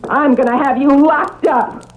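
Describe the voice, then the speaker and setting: Shrill-tempered, high-pitched nagging wife. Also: female voice, fairly even intonation, measured pace, slight background noise. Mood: exasperated, scolding. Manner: scolding, aggrieved. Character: bossy, exasperated, nagging wife. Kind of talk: classic radio drama acting. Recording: tinny band-limited old radio recording.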